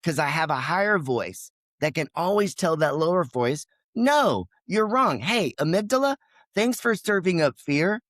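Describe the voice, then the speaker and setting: A man's voice: a little high, sing-song, a little slow, fairly bright tone, no echo, studio quality. A man who is a podcast guest, speaking in an emphatic, passionate way and now and then acting out his own inner voice. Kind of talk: energetic interview talk.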